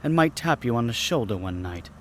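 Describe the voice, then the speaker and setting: Actor as restless young heir, noisy recording. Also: male voice, mid-range voice, brisk, close to an everyday voice. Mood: nostalgic, uneasy. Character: restless young heir, an aircraft designer, uneasy yet outspoken. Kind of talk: radio mystery drama acting. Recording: steady noise behind, vintage radio recreation.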